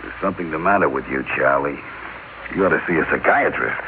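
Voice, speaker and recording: A man's voice: a bass voice, expressive, fast talker, creaky, slight background noise, heavily acted. An actor playing a world-weary, defensive businessman brother in a vintage radio drama, with a wry, evasive manner. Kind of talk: vintage radio drama acting with first-person narration. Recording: phone-like vintage radio, loud music behind.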